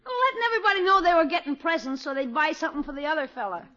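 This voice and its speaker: Brash schoolboy wheeler-dealer, boyish and eager, very lively intonation, bright tone, old radio sitcom. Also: male voice, extremely high pitch, rapid-fire, full character voice. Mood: smug. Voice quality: youthful, boyish. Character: cheeky, scheming young boy, eager salesman nephew. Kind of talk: 1950s radio sitcom acting. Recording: dull vintage radio recording.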